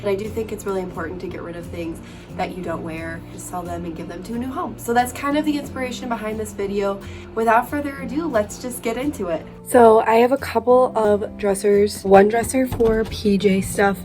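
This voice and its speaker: A woman's voice: mid-range voice, brisk, darkish tone. A woman in her twenties chatting casually as a lifestyle vlogger, her voice still a little worn from being sick, with lots of "like" fillers. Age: 20s. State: recovering voice after illness. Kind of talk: casual vlog chat. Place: bedroom at home. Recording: distant mic with guitar music behind.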